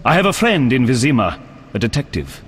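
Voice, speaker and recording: An actor playing an earnest, dignified knight in a video game, speaking in a formal manner. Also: male voice, mid-range voice, sing-song, fairly quick, husky. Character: earnest, dignified male knight who hunts beasts. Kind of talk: video game character acting. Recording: long echo of a large hall.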